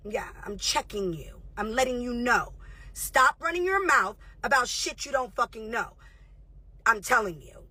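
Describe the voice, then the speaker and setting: A woman in her thirties goes off in a confrontational, profane livestream rant. Her voice sits high and sounds bright and cutting. It is recorded close up, dry, with no room sound. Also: expressive, breathy edge, dry recording. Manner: confrontational, profane, rapid-fire. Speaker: reality show host and producer.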